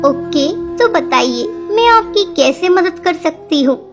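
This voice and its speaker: Woman voicing a high-pitched, humble little cartoon bird. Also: expressive, slow, over music, heavily acted. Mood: polite. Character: humble poor female bird, maid, mother or daughter. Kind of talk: Hindi children's cartoon dubbing.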